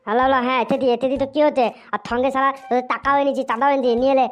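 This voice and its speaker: A woman's voice: high voice, little pitch movement, very fast, close to an everyday voice. A woman voicing a whiny, demanding, foul-mouthed little boy in a comedy cartoon. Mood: cocky, defiant. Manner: whining, pleading, insolent. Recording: muffled lo-fi audio with music.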